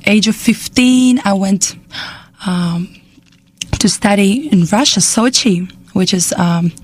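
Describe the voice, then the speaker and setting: A young woman from Estonia speaking English with an Estonian accent, a jazz singer talking as a radio guest, pausing often to search for words and laughing often. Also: medium pitch. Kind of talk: casual radio interview.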